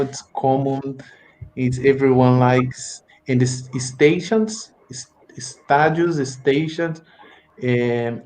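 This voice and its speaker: A man's voice: mid-range voice, low-quality recording. A man from Brazil with a Brazilian Portuguese accent, a native Portuguese speaker, talking hesitantly as a student and searching for words. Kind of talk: casual chat in a second language.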